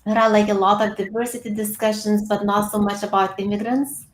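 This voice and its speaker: A woman from Lithuania with a Lithuanian accent, speaking earnestly over a video call as an interview guest, with frequent pauses and fillers. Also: mid-range voice, little pitch movement, reverberant. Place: home room with bookshelves.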